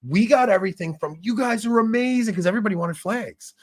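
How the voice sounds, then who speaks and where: A man in his forties with a smoky, gravelly voice, co-hosting a casual chat and telling stories with lots of laughs and restarts. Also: high-pitched, quick pace. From New York. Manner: animated storyteller, laughs often, trails off and restarts. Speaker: podcast co-host.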